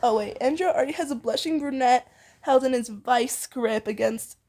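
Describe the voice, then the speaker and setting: Giggly young woman with a high voice. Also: moderate pace, darkish tone. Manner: giggly, easily breaks off from reading to banter. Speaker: amateur fan fiction reader and co-host. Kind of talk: casual read-aloud of fan fiction with banter.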